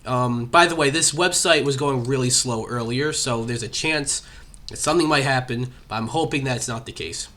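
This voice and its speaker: Bright, rambling young male podcast host with a slight cough, casual chat, lots of "uh" and "like". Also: medium pitch, moderate pace. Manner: rambling, many fillers. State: has a cough.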